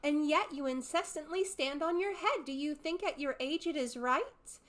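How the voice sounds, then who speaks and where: A woman's voice: high voice, expressive, moderate pace, fairly bright tone, voice pushed up. A woman voicing a cheeky, inquisitive young man who recites questioning verse in a storybook reading. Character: cheeky, inquisitive youth questioning his old father.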